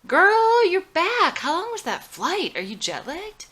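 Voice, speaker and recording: Woman playing brash, excitable party-girl friend, swooping pitch. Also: unhurried, exaggerated voice. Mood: excited. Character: fun-loving, free-spirited young actress best friend.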